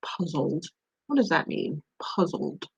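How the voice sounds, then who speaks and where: A woman's voice: deep voice, sing-song, slow, vocal fry, slightly rough, poor audio. A woman teacher casually commenting and asking young children questions during a read-along.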